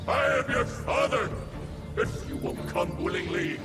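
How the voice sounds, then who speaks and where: A man's voice: measured pace, lightly acted. An actor playing a menacing older father turned power-hungry villain in a video game, grandiose and commanding in delivery. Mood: angry, commanding. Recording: reverberant game audio under loud music.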